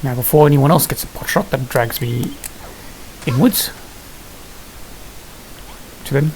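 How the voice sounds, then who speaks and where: A gamer giving casual gameplay commentary, muttering and thinking aloud to themselves in a gravelly voice. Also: mid-range voice, a little slow, darkish tone. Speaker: gamer hosting a gameplay series. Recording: hissy, noisy home recording.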